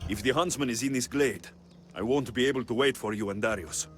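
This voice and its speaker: An actor playing a defiant, sardonic mercenary hero in a video game drama. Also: male voice, mid-range voice, fairly quick, soft background music, close to an everyday voice. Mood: matter-of-fact.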